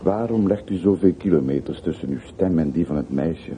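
A man acting in a radio play as a patient, plain-spoken hired porter, measured and deferential while gently prodding. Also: deep voice, natural voice. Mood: businesslike. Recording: muffled phone-like old radio recording, hissy.

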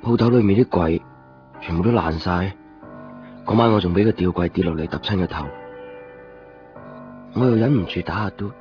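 A man's voice: low-pitched, moderate pace, long pauses, lightly acted. A man playing a weary, lonely shopkeeper who narrates a radio drama in a colloquial, brooding way, with a Hong Kong Cantonese accent. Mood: glum, reflective. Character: weary, lonely male copy-shop keeper. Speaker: lead actor and first-person narrator. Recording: dull lo-fi radio recording, music behind.

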